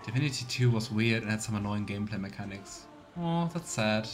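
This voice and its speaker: A man's voice: lowish voice, animated, fairly quick. A man giving casual, chatty let's play commentary with playful sarcasm. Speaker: livestreamer playing a video game.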